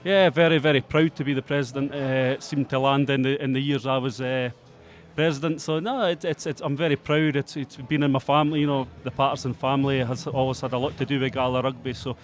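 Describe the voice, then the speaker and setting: A man, effusive but halting, interviewed after a match as club president. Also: mid-range voice, fairly even intonation.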